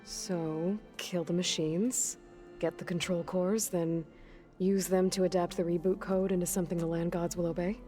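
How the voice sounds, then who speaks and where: A woman's voice: lowish voice, level tone, moderate pace, mellow, faint music behind, close to an everyday voice. An actress playing a resolute, practical young woman warrior in a video game cutscene. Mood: determined.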